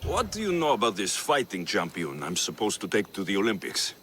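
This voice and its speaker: A cocky young greek warrior hero in a game, wry and blunt, really expressive forceful voice. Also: male voice, fairly low pitch, brisk, slight background noise. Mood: wry, focused. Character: bold, confident young Spartan mercenary hero, wry. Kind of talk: video game cutscene acting.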